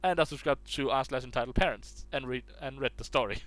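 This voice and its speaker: A man's voice: mid-range voice, fast talker, a bit bright, no echo. Casual man reading online stories aloud. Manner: restarts and stumbles while reading aloud. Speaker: reader of online posts.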